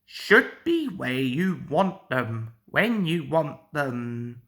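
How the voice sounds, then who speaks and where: A woman's voice: sits low, very animated, extremely slow, fairly bright tone, heavily acted. A woman voicing a fussy, stern old male tram engine who grumbles, in a storybook reading. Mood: cross.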